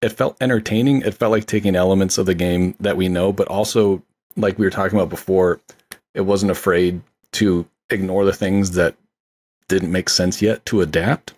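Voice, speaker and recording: A man's voice: deep voice, fairly even intonation, normal speed, a little mellow. A man chatting on a podcast about movies, enthusiastic and rambling with lots of "like.". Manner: enthusiastic, rambling, many fillers like "like". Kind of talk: casual movie discussion.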